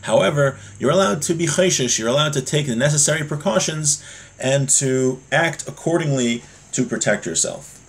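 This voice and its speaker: A man's voice: fairly high pitch, lively intonation, normal speed, creaky. A man giving a religious lesson, reading Hebrew phrases aloud and then explaining them plainly in English. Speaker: religious teacher.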